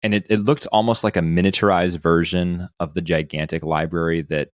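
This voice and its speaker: A man's voice: sits low, fairly even intonation, a little fast, dry recording. A man hosting a casual podcast, telling personal anecdotes in a discursive way, thinking aloud as he goes. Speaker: podcast host.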